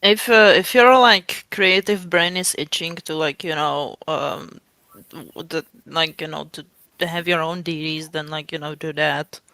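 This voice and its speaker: A man chatting casually with friends, halting and repeating words as he works out his point. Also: fairly high pitch, gravelly, sharp tone, clear, resonant tone, no echo, poor audio. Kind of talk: casual group chat.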